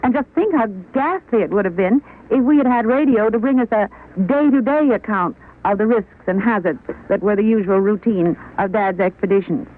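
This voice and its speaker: A middle-aged woman giving a formal, articulate guest interview on a tinny 1940s radio broadcast. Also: medium pitch. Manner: articulate, formal, measured phrasing. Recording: tinny vintage radio recording.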